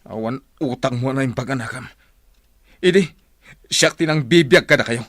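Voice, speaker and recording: A man's voice: mid-range voice, normal speed, a bit bright, no echo, clean studio sound, natural voice. A man acting as a bitter estranged husband and father in a radio drama, confrontational and demanding. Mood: angry, threatening.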